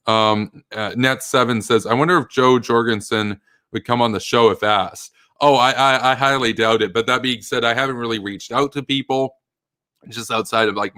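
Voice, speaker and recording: A man's voice: lowish voice, sharp tone, no room sound, studio quality. A man hosting a political live stream, reading chat questions and answering in a blunt, rambling, emphatic way with many fillers. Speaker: political commentary host.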